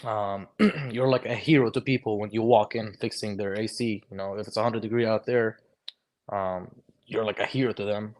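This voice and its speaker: A young man in his twenties from Lebanon, a guest chatting casually and telling his story in a relaxed way. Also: on the low side, moderate pace. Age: 20s. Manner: relaxed storytelling, lots of "bro" and "like", acts out quoted conversations. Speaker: guest, HVAC technician. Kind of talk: casual podcast chat.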